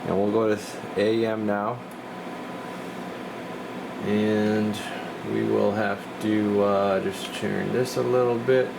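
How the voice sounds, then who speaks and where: Guy with a lowish voice doing a rambly tech demo, thinking out loud, noticeable room hum. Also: flat delivery, slow pace, gravelly, long pauses. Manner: rambling, thinking aloud while working. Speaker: radio technician demonstrating a CB radio. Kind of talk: casual hands-on product walkthrough.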